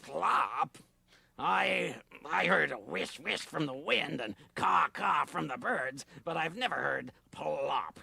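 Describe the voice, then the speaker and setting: A woman's voice: low-pitched, lively intonation, slow pace, gravelly, pushed and bright, husky, pitched below the performer's own voice, heavily acted. A woman voicing a grumpy, sleepy male raccoon who grumbles and drawls.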